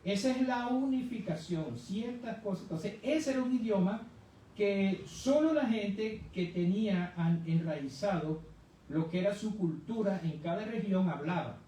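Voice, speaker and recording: A man's voice: high voice, expressive, a little slow, mellow. A man giving a conversational Bible lesson as a church teacher, explaining didactically and putting rhetorical questions to his listeners. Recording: very echoey room, distant mic, faint noise.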